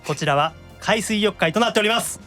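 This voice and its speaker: A man's voice: high voice, a little fast, pushed and bright, soft background music. A man with a Kansai accent hosting a podcast in casual radio chat, bantering with teasing mock scolding. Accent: Kansai Japanese. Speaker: podcast host.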